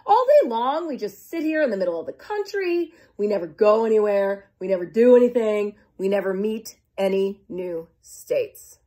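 A woman's voice: mid-range voice, expressive, moderate pace, hardly any pauses, warm tone, lightly acted. A woman voicing Kansas, a glum, bored male state who moans and complains in a storybook. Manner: moaning, complaining.

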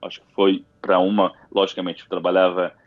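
A man's voice: sits low, monotone, fairly quick, dry recording, highs cut off. A man with a Brazilian Portuguese accent, an interview guest talking casually, rambling with restarts and fillers. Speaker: interview guest, university alumnus.

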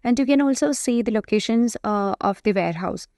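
A woman giving explanatory software tutorial narration, speaking in a halting, semi-improvised way. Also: a little high, no room sound, studio quality. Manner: explanatory, halting delivery with frequent hesitations.

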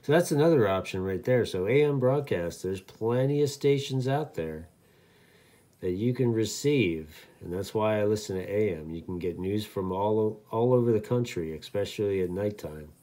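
A man with a low, mellow voice gives a casual hands-on demonstration. He rambles along in an easygoing way, often halting as he finds the next detail. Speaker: amateur radio hobbyist demonstrating. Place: indoors at a radio desk.

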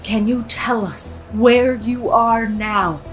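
A woman playing a self-assured, theatrical teenage séance leader with a husky, solemn delivery in an audio drama. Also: on the high side, slow pace, faint music behind, poor audio.

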